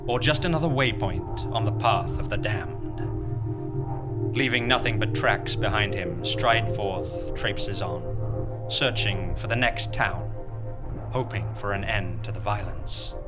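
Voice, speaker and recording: A man narrating a radio show and reading its credits in a grandiose, deliberate, theatrical style. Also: on the low side. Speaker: narrator and announcer. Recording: loud music under live theatre narration.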